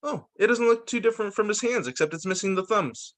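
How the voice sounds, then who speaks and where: A man teacher reading picture books aloud to young children, with deliberate, sing-song phrasing. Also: high-pitched, a bit bright.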